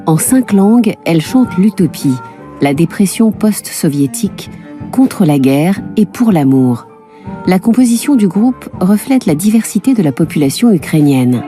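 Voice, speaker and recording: Low-voiced woman narrating a documentary. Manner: measured, even narration. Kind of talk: scripted voice-over narration. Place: recording studio. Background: music.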